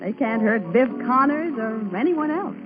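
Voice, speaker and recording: A woman's voice: a little high, expressive, measured pace. An American actress playing a cheerful, spirited young woman sidekick in a 1940s radio drama, with a teasing, chummy manner. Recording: muffled vintage 1940s radio broadcast, music.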